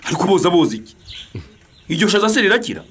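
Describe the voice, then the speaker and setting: A man's voice: high-pitched, sing-song, racing, background noise. A man playing a mocking, sceptical villager in a radio drama, teasing and scornful of others' views. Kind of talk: Kinyarwanda radio drama acting.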